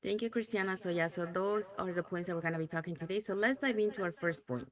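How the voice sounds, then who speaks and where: A middle-aged woman from Panama hosting a live online talk over a video call, friendly and relaxed, with frequent fillers. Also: fairly low pitch, quick pace. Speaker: host.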